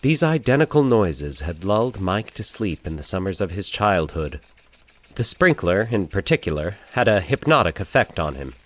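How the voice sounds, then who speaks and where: A man narrating a scripted fiction story in a measured, literary storytelling way. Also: low-pitched, sing-song.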